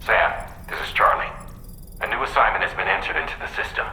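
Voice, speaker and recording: Game dispatcher: brisk businesslike man on radio, piercingly sharp, echoing, creaky delivery. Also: fairly low pitch, slight background noise. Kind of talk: acted radio briefing.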